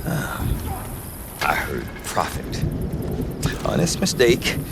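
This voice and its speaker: An actor playing a folksy, wry middle-aged trader in a video game, chatty and given to colloquial sales patter and hesitations. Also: male voice, medium pitch, huge pitch swings, takes it slowly, vocal fry, noisy background, exaggerated voice. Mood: affable, awkward. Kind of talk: video game character acting.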